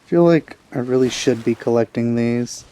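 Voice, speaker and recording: Mellow young man giving casual game commentary. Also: medium pitch, normal speed, slight background noise. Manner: musing, conversational, wry. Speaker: let's player.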